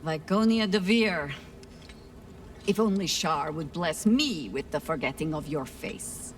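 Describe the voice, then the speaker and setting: A woman's voice: sits low, expressive, unhurried, far from the mic, a little hiss or hum. A woman voicing a sardonic, vengeful adventurer in a fantasy video game, with a sarcastic manner. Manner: sarcastic, dry-witted. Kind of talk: dramatic game dialogue.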